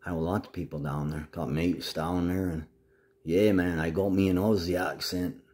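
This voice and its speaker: A man's voice: low-pitched, steady tone, moderate pace, a little mellow. A man talking casually to the camera in a rambling, agitated monologue full of swearing.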